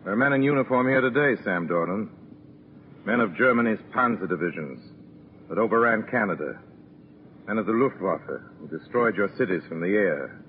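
An actor playing a stern, solemn narrator who addresses a doomed prisoner in a 1940s radio drama. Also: male voice, on the low side, lively intonation, moderate pace, lightly acted. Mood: grim, urgent. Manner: solemn, dramatic, rhetorical delivery. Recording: tinny, noisy 1940s radio broadcast.

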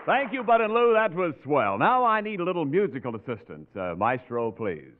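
A man's voice: fairly high pitch, sing-song, moderate pace, slight background noise. An American man announcing in an emphatic, polished, declamatory 1940s radio commercial style. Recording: tinny old radio recording with music.

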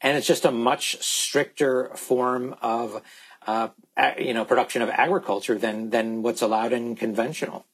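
A man speaking as a guest expert in a candid interview, halting and repeating words as he insistently makes his points. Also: mid-range voice, steady tone, slightly rough, low-quality recording. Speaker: guest expert on organic food.

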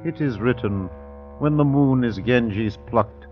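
An actor playing a pious, stern old father, solemn and anguished, with a husky voice in a radio drama. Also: mid-range voice, takes it slowly, soft background music, close to an everyday voice. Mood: solemn, reproving. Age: older. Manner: solemn, scripture-quoting, anguished. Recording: dull, muffled old radio recording.

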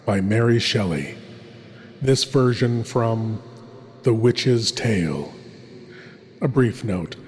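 Man playing a slow, sinister horror host in cavernous reverb. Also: mid-range voice, close to an everyday voice. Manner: theatrical, hushed, dramatic pauses, florid. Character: sinister, courtly male horror host savoring terror and darkness. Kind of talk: scripted theatrical horror anthology introduction. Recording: cavernous reverberant hall effect.

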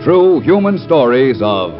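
A man's voice: mid-range voice, very animated, a little slow. A man delivering a dramatic introduction as a 1940s radio show announcer. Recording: dull vintage radio, loud music behind. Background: loud orchestral music with strings.

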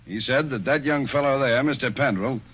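Dutiful male police sergeant, voiced by an actor, firm, mid-pitched, old-time radio thriller, lo-fi. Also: moderate pace, husky, close to an everyday voice. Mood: reporting, persistent. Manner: deferential but firm. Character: persistent, dutiful male police sergeant reporting to his boss. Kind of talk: 1940s radio suspense acting. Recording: dull 1940s radio recording.

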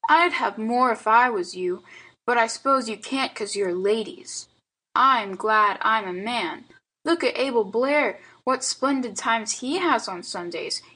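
Female narrator as a small boy, high-ish husky voice, childish slang, frank, earnest, mischievous, storybook reading. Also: unhurried, sharp tone, exaggerated voice, low-quality recording. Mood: despondent, pondering. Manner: childish grammar and slang, plaintive reasoning. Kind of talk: storybook character dialogue.

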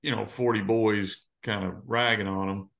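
Male coach guest, laid-back storyteller, lowish voice. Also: moderate pace, vocal fry. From United States. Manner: relaxed, easygoing storyteller. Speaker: high school football coach, guest. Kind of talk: casual storytelling in interview.

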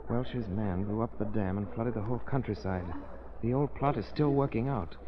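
An actor playing a calm, composed male gentleman sleuth who speaks in a measured way, in 1930s radio drama. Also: lowish voice, close to an everyday voice. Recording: muffled old radio with loud noise.

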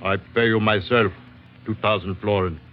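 An actor playing a gruff, businesslike Dutch sea captain in a radio drama, with clipped, foreign-sounding phrasing. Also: male voice, on the low side, fairly even intonation, moderate pace, natural voice. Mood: blunt, businesslike. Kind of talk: 1940s radio drama acting. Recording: muffled vintage radio, faint music.

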